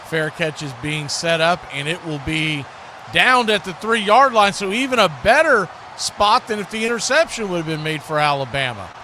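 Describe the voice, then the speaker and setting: A man's voice: sits high, noisy background. A man giving live football play-by-play commentary in short, clipped phrases. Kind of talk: live American football radio-style commentary.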